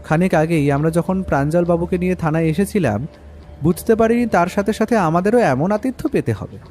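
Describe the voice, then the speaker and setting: A man's voice: sits high, lively intonation, fairly quick, mellow. A man playing a detective's reflective companion, narrating a story in the first person. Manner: literary, reflective. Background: background music.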